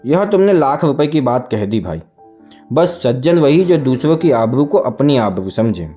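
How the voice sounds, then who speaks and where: A man's voice: mid-range voice, very animated, moderate pace, dark tone, faint music behind, lightly acted. A man voicing a wily middle-aged village farmer in a storybook, ingratiating and folksy in his rustic speech. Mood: agreeable.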